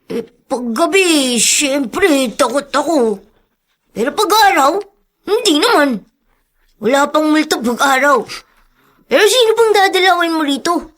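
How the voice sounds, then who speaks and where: High-voiced timid little boy. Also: expressive, a little slow, long pauses, a bit bright. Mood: curious, earnest. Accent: Filipino. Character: young homeless boy, sweet, timid and secretive. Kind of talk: Filipino radio drama acting.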